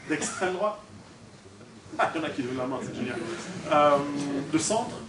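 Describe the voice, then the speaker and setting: A distant, echoey recording of a man from Switzerland addressing an audience. He speaks in an informal, joking lecture style and projects to the room, with a fairly high-pitched voice for a man. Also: animated, moderate pace. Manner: conversational and joking, polling the audience; projecting to a room without a microphone. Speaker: guest lecturer and author. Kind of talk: informal public talk to an audience. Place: indoor hall, recorded at a distance. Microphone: distant camera or recorder, no microphone on speaker. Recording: echoey hall from far off, noisy.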